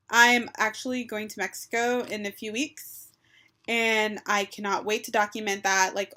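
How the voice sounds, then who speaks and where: A woman's voice: medium pitch, a bit bright. A woman in her twenties from Texas, talking casually to her webcam with lots of "um" and "like" and a few Spanish phrases, recorded in a small bedroom on a built-in webcam mic. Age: 20s. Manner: chatty, frequent "um" and "like", mixes in Spanish phrases. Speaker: vlogger. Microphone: built-in webcam mic, close.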